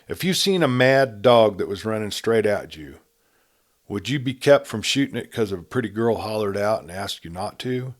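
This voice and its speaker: A man voicing a sarcastic, menacing outlaw gang leader in a Western story reading, talking in rough frontier speech. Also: fairly low pitch, animated, normal speed, natural voice. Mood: commanding. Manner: taunting, commanding, rough frontier talk.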